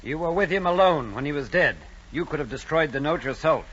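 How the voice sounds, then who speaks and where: An actor playing a fussy, timid solicitor in an old-time radio drama, speaking in a proper, earnest, hesitant way. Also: male voice, on the high side, expressive, brisk, a bit bright, slightly rough. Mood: accusing. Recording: dull, noisy vintage radio recording.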